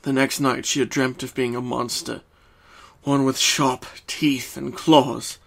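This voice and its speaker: A man reading a scripted story as narrator, in a solemn, deliberate and dramatic way. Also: medium pitch, flat delivery, vocal fry.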